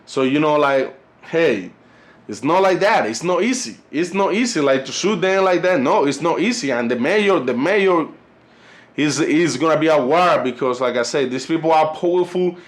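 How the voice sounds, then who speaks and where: Young Colombian man with a higher voice. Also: expressive, normal speed. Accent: Colombian Spanish. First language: Spanish. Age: 20s. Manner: rambling, filler-heavy, says "you know" and "fellas" a lot. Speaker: YouTube channel host. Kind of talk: casual vlog commentary.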